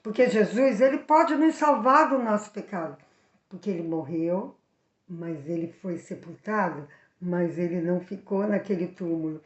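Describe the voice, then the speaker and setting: A woman with a Brazilian accent telling a Bible story for children, speaking directly to the young viewers in an animated way. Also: fairly low pitch, moderate pace, echoey room, lo-fi sound. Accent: Brazilian Portuguese.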